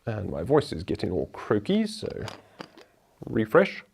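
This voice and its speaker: Lively low-voiced man explaining a board game. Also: measured pace, gravelly, slight background noise. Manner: chatty, talks through each move aloud. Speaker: board game show host. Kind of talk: solo board game playthrough, explaining rules.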